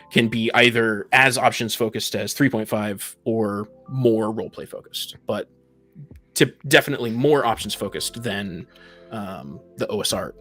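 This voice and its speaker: Husky-voiced man explaining in detail. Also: fairly low pitch, fairly bright tone. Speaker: panelist in a tabletop gaming discussion. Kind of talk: casual explanatory discussion.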